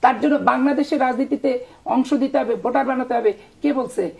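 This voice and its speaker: A woman's voice: high-pitched, fairly quick, creaky, darkish tone. A middle-aged woman from Bangladesh speaking as a politician, arguing combatively in a discussion with rhetorical questions.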